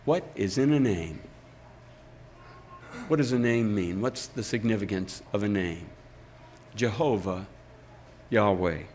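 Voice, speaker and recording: An older man, a pastor, reading scripture aloud and preaching a sermon in a slow, deliberate way with emphatic pauses. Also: fairly low pitch, darkish tone, slight background noise.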